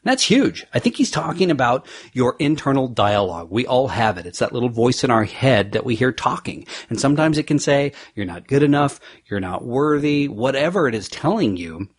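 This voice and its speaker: Husky-voiced man hosting a motivational podcast. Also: mid-range voice, very animated, moderate pace, few pauses. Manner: earnest, motivational, conversational. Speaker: podcast host. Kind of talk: solo podcast recap.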